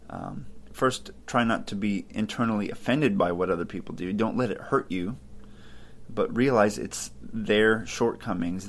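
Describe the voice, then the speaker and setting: A man hosting a podcast, talking casually and thinking aloud with fillers and restarts. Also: fairly low pitch. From Ohio, United States. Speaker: podcast host. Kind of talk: casual podcast commentary on philosophy.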